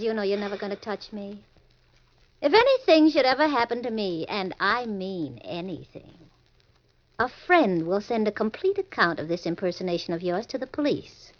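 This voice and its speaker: An actress playing a scheming, money-hungry young woman with a babyish voice and a coy, coolly mocking manner in an old-time radio drama. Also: mid-range voice. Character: scheming young femme fatale, sweetly menacing, money-hungry. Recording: dull vintage radio recording.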